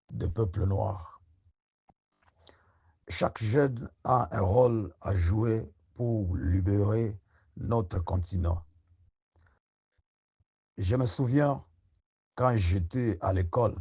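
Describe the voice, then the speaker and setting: A man giving a slow, rousing spoken address to young listeners, full of rhetorical questions. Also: fairly low pitch, gravelly, a little mellow, husky, highs cut off. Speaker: activist speaker.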